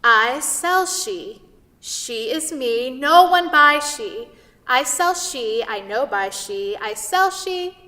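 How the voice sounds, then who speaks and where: A woman's voice: high voice, animated, slow pace, pushed and bright, exaggerated voice. A woman acting a tender, insistent female tree spirit who speaks in simple broken grammar, in a staged play reading. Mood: adoring, emphatic. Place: theatre stage. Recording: reverberant theatre hall.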